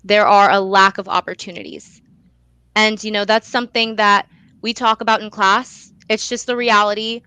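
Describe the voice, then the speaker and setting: A woman's voice: medium pitch, fairly even intonation, moderate pace, pushed and bright, smooth, no room sound, rough recording. A young adult woman answering in a conversational interview, earnest and emphatic, repeating phrases for stress. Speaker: guest, student actor and playwright.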